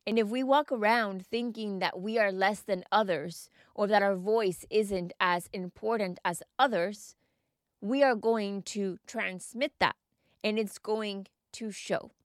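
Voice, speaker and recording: A woman's voice: mid-range voice, measured pace, no room sound, clean studio sound. A woman from the United States hosting a solo mindset podcast, talking the listener through reflective questions in a coaching manner. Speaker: podcast host.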